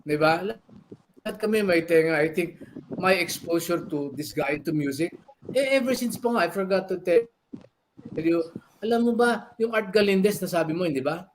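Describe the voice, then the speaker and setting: An older man with a Filipino accent telling stories in a casual chat as a radio veteran, animated and often repeating his phrases. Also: sits high, moderate pace, noisy background. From Philippines. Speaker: guest, veteran radio DJ and record store owner.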